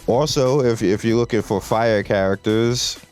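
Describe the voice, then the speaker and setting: A man's voice: fairly low pitch, a little slow, a little mellow, faint music behind. A man losing his voice, giving laid-back, slangy game commentary with lots of restarts. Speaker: mobile game content creator.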